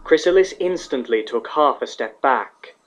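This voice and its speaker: A man's voice: mid-range voice, animated, measured pace. A man reading scripted fantasy fiction aloud as the narrator of a story.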